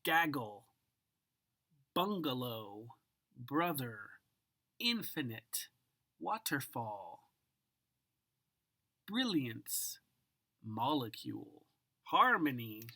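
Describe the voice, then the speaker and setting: Low-voiced woman reading a storybook, very animated. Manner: savors single words with drawn-out, dramatic delivery and occasional whispers. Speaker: storybook reader. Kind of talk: expressive children's picture book read-aloud.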